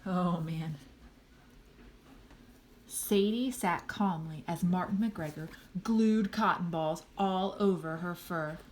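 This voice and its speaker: Expressive woman reading a children's story. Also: fairly low pitch, measured pace, few pauses, slight background noise. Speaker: storybook reader.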